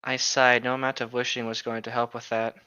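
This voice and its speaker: A man with a bright, forward voice at an ordinary pitch, bantering casually as a co-host. He sounds flippant, and the recording is a little dull. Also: completely flat delivery, brisk, smooth. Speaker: podcast co-host reading and riffing on a novel. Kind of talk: casual banter with read-aloud.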